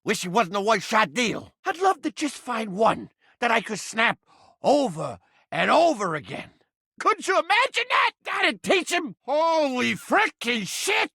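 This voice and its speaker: A man's voice: extremely high pitch, big pitch swings, unhurried, creaky, bright, forceful tone, slightly rough, no echo, clean studio sound, heavily acted. A man voicing a cranky, foul-mouthed talking rat trap in a video game, with a Brooklyn accent and an abrasive, theatrical, wisecracking delivery. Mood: irritable. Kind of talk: exaggerated comedic video game character acting.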